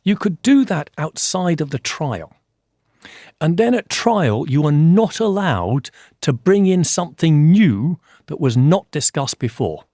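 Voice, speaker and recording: An older man from Scotland, a forensic scientist, answering interview questions in a measured, explanatory way and repeating key points for emphasis. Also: fairly high pitch, big pitch swings, warm tone, no echo, clean studio sound.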